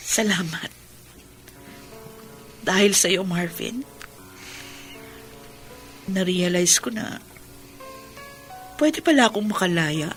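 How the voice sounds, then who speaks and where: An actress playing a tender, emotionally wounded young woman in a sentimental radio drama, speaking earnestly and with feeling. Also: lowish voice. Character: tender, wounded young bride Julie, healing from heartbreak.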